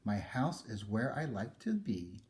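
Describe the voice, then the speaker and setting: A man's voice: lowish voice, sing-song, unhurried, darkish tone. A man voicing an easygoing, dreamy storybook homeowner who is happy to be different and sounds unbothered. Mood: contentedly proud.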